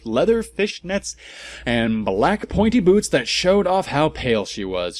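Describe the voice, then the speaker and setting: A man's voice: mid-range voice, no echo. A man giving a comedic, theatrical and mock-serious reading of amateur fan fiction as its narrator. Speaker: narrator and commentator.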